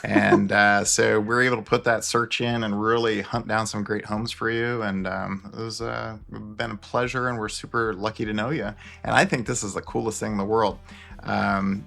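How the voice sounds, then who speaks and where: A man's voice: on the low side, gravelly, sharp tone. A man working as a real estate agent, talking casually and promotionally about his business.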